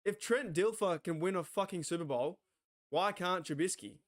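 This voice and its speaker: Excitable Australian man, slightly higher voice. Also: moderate pace. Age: 40s. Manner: excitable, rambling, swears freely, talks to chat. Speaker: watch party host. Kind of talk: casual live-stream sports chat.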